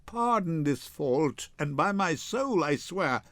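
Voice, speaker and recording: An older man acts an earnest young husband in a Shakespeare comedy. He pleads ardently, unhurried, with a melodic, wide-ranging voice. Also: fairly high pitch, very animated, a bit bright, no echo, exaggerated voice. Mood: pleading. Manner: ardent, theatrical. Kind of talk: dramatic Shakespeare reading.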